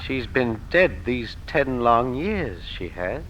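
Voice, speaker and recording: An actor playing a fearful, guarded household servant in a theatrical 1940s radio drama style. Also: male voice, medium pitch, sing-song, slow, lo-fi sound. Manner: theatrical, uneasy. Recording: noisy old radio recording.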